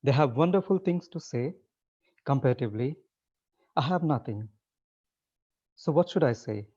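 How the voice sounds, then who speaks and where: A man, giving a relaxed, conversational talk as a webinar guest speaker over a video call. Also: mid-range voice, normal speed, breathy edge. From Nepal. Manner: relaxed and conversational, with frequent restarts. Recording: slightly muffled webinar audio.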